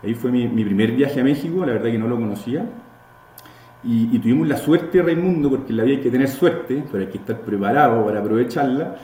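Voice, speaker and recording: A man's voice: medium pitch, lively intonation, quick pace, warm tone. A man in his thirties with a Chilean accent, chatting as an interview guest and telling his story in a loose, repetitive way. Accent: Chilean Spanish. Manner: chatty, repeats words while building a story. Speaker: guest, entrepreneur. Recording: roomy echo, faint background noise.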